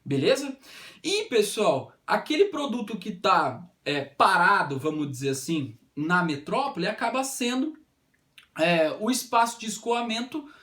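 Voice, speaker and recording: A man's voice: sits high, very animated, unhurried, a bit bright. A man with a Brazilian accent giving an informal history lecture, explaining didactically and often adding short check-in tags. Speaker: history teacher.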